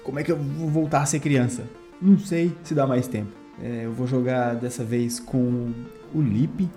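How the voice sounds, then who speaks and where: A man player introducing his character in a relaxed, joking way. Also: medium pitch, normal speed. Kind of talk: casual scripted introduction. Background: faint music.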